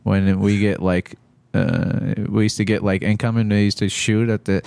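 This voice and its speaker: A man in his thirties who grew up in Iraq, a stand-up comedian guest talking in a halting, blunt, profane way at a studio desk mic. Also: fairly low pitch, little pitch movement, creaky, a little mellow, no room sound. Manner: halting, many restarts and fillers, blunt and profane. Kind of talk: casual podcast chat. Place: small studio with textured walls. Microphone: desk mic on stand, headphones.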